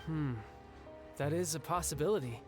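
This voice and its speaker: Casting: young male game warrior man acting, higher register, confident, breezy, reflective, restless undertone. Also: normal speed, lightly acted. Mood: puzzled, reflective. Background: music.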